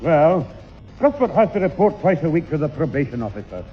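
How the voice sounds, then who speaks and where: A man's voice: fairly high pitch, big pitch swings, brisk, highs cut off, faint music behind. An actor playing a crafty, exasperated old grandfather with a Scottish accent, scheming and insistent in a 1960s radio sitcom. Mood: earnest bluffing. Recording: band-limited old radio recording.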